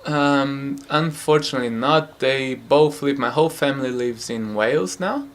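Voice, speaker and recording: A young man from Brazil with a Brazilian Portuguese accent, answering interview questions at home in a relaxed, hesitant way with many fillers. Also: medium pitch, moderate pace. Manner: relaxed, hesitant, frequent fillers and light laughs. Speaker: futsal player. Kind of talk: casual interview.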